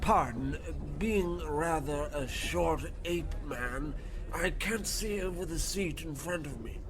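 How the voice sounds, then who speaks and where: A man's voice: a little high, takes it slowly, music in the background. A man voicing a genteel, scholarly little ape professor with pompous old-fashioned politeness, in a radio comedy with noise behind. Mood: fussy.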